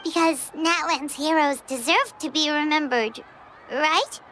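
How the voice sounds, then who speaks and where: A woman voicing a tiny, cheerful fairy companion with a squeaky, childlike voice in an anime-style video game. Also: unhurried, sharp tone, slight background noise. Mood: excited, eager. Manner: speaks of herself in third person. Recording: background music.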